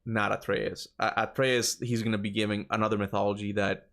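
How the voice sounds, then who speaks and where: A man's voice: on the low side, flat delivery, brisk, gravelly, fairly bright tone. A man streaming a video game, chatting casually, thinking aloud, repeating himself and laughing easily. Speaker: gaming streamer. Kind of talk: casual livestream commentary.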